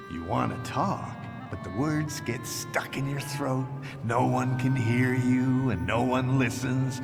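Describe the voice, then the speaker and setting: An actor playing a cruel, mocking tormentor of minds in a video game, with a taunting, drawn-out delivery. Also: male voice, mid-range voice, vocal fry, heavily acted. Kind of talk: dramatic game dialogue. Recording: long hall reverb, loud music.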